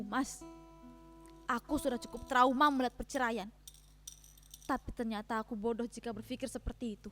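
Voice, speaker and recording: An actress playing a hurt, proud wife in a dramatic reading, with emotional, reproachful theatrical delivery. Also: female voice, high voice, lightly acted. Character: hurt, proud village wife in a failing marriage. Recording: music behind the voice.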